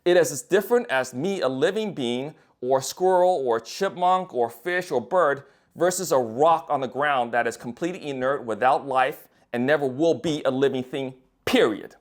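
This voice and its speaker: A man's voice: mid-range voice, moderate pace, few pauses. A young man speaks in a loose, unscripted monologue, blunt and peppered with profanity. He circles his points with restarts and fillers, in an ordinary mid-range voice. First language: English. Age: young adult. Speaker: writer and designer. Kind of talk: casual monologue to camera.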